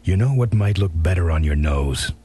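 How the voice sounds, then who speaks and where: Very deep, deadpan tough biker. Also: male voice, moderate pace, a little hiss or hum. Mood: cool menace. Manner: terse, deadpan. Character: gruff tough male biker hero, dry and menacing. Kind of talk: video game voice acting.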